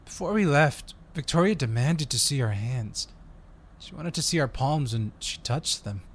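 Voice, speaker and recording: A man's voice: mid-range voice, expressive, normal speed, a little hiss or hum, lightly acted. A man playing an eager, friendly undercover agent in an audio roleplay, talking in a polite, chatty way. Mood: earnest, polite.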